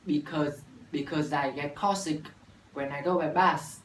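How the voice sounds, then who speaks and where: A nineteen-year-old university student from Vietnam with a Vietnamese accent, reciting an English practice dialogue in a careful, halting way with restarts. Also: male voice, a little high, fairly even intonation, fairly bright tone. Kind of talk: scripted classroom dialogue practice. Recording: echoey room from far off, faint noise.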